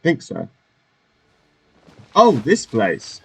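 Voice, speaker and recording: Low-key man commenting over a game. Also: wildly swooping pitch, extremely slow, gravelly, fairly bright tone, a little hiss or hum. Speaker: gamer commenting on a playthrough. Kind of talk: casual gameplay commentary.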